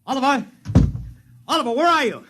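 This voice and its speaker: A man's voice: very high-pitched, lively intonation, fairly quick, sharp tone, faint music behind, heavily acted. An actor playing a hot-tempered, defiant publicity man in a 1950s radio drama, talking tough and urgently with emotional outbursts. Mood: frantic. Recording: vintage 1950s radio broadcast.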